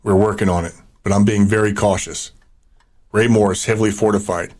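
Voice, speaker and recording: A man's voice: lowish voice, fairly even intonation, brisk, a little mellow, natural voice. An actor playing a confident male lieutenant with a self-assured, clipped manner in a post-apocalyptic audio drama. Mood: cocky, measured. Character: confident, cautious male fighter and military lieutenant.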